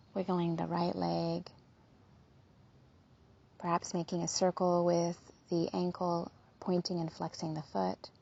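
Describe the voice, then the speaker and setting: Female meditation guide, mellow and husky with some creak, soothing and unhurried, slightly muffled recording. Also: fairly low pitch. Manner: soothing, gentle, unhurried with soft trailing phrases. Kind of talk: guided body scan meditation.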